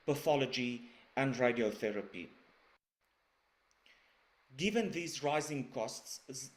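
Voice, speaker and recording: A man's voice: medium pitch, a little mellow. South African man giving a formal talk. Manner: measured, formal delivery. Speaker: radiation oncologist presenter. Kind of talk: scripted presentation. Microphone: webinar recording.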